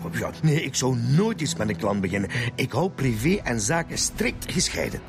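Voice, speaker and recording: A man's voice: mid-range voice, very animated, moderate pace, vocal fry, music in the background. An actor playing a fussy, defensive small businessman under police questioning, with a Flemish accent, in a radio drama. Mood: flustered. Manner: indignant, self-justifying, hesitant under pressure. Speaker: murder suspect.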